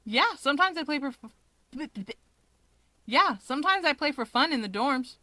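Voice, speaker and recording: A brash young man in a story reading, acted by a woman. He is high and bright, shamelessly teasing and emphatic. The sound is close and dry. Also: animated, moderate pace, dry recording. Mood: upbeat, sincere. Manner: cocky, emphatic, provoking.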